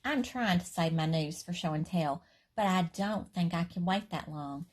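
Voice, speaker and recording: A woman's voice: lowish voice, normal speed, darkish tone. A woman teacher chatting casually to children before reading a storybook aloud, in a friendly, chatty way. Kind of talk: casual intro to a read-aloud, then storybook narration.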